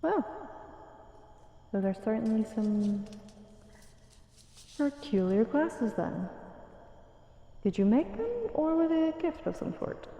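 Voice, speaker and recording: A woman voicing a courteous, eerie fae librarian in an intimate audio roleplay, softly musing and hesitant, with an echo effect on the voice. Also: mid-range voice. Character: gentle, courteous, eerie fae librarian, ancient otherworldly being. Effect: echo added to voice. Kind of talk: audio roleplay, intimate one-sided dialogue to listener. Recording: echoing voice with long reverb.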